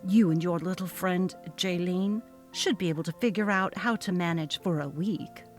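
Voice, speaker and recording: A woman's voice: fairly low pitch, normal speed, faint music behind, close to an everyday voice. A woman voicing a haughty, narcissistic female office manager who talks down to her staff, in a dramatically read story. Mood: condescending. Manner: haughty, cutting, demanding. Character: snobby, imperious, narcissistic female office manager, cold and bullying. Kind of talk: dramatic reading of a text-message story.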